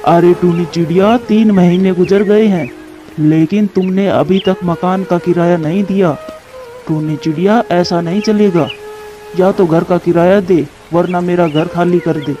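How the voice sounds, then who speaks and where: A stingy crow landlord from a cartoon, acted by a man, stern and demanding. The voice sits high for a male and is mellow rather than sharp, with music playing behind it. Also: steady tone, unhurried. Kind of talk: Hindi cartoon dialogue.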